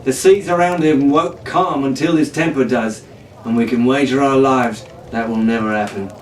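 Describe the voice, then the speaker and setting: A man's voice: medium pitch, normal speed, lightly acted. A man voicing a cocky, cheerful teenage pirate captain with a breezy, swaggering, commanding manner. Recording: roomy, distant, noisy home recording.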